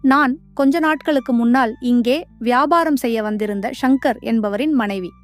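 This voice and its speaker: A woman's voice: a little high, normal speed, soft background music, pitched above the performer's own voice, close to an everyday voice. A woman voicing a brave, clever young wife in a children's cartoon, speaking in an earnest, determined way. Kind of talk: cartoon voice acting.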